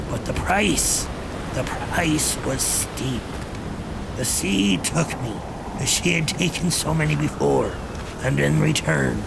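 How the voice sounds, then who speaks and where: A man voicing a cursed, ghostly old pirate captain, telling grim tales in a theatrical, gravelly pirate dialect with an ominous, grandiose manner. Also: fairly high pitch, background noise, heavily acted. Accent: pirate-style dialect. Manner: ominous, grandiose, deliberate rhetorical delivery. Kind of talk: theatrical scripted monologue.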